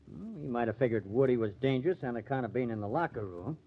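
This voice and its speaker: A man's voice: medium pitch, lively intonation, fast. A man acting an edgy, indignant ballplayer under suspicion in an old-time radio crime drama. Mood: explaining. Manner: nervy, protesting. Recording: tinny, narrow vintage radio recording.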